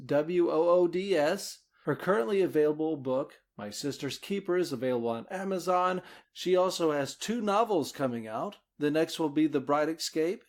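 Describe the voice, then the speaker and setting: A man talking casually in a podcast interview, rambling a little as he thinks aloud. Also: a little high. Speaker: podcast host and author being interviewed. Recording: faint background noise.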